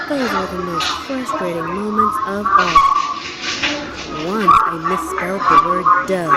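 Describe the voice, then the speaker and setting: A woman reading a children's story aloud in an expressive, deliberate way, with dramatic pauses and emphasis. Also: medium pitch, very noisy. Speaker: storybook reader. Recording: loud music bed under the voice. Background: music.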